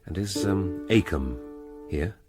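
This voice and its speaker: A man's voice: low-pitched, animated, slow pace, over music. A man playing a shrewd police inspector in a radio drama, speaking in a dry, hesitant, politely probing way. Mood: probing, wry. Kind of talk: full-cast radio drama acting.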